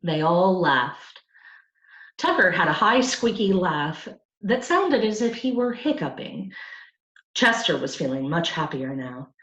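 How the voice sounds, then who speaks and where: Woman storyteller, children's book read-aloud, lively intonation, unhurried, lowish voice, roomy echo. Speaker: storybook reader.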